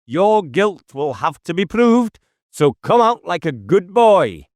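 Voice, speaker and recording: Man acting agitated, high-pitched police inspector. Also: big pitch swings, takes it slowly, dry recording, exaggerated voice. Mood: taunting, commanding. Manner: challenging, raised declamatory delivery. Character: agitated male police inspector calling out a suspect. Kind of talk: audiobook character dialogue.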